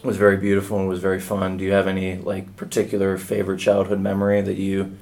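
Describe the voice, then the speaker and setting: Man with a very low, flat, gravelly voice. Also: quick pace. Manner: asks follow-up questions. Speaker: interviewer.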